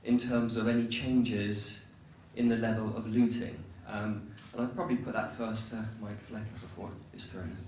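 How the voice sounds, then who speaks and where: A man from Europe with a fairly low voice asks a conference panel a question in an articulate, deliberate way. He sounds distant, in a strongly echoing hall with faint noise. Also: flat delivery, a little mellow. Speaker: conference speaker asking a question. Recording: very echoey hall, far from mic.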